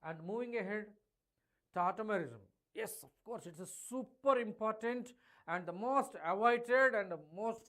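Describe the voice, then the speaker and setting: A man's voice: high voice, a bit bright. A middle-aged man with an Indian English accent, giving an emphatic live chemistry revision lecture to students online and addressing them directly. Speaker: chemistry teacher.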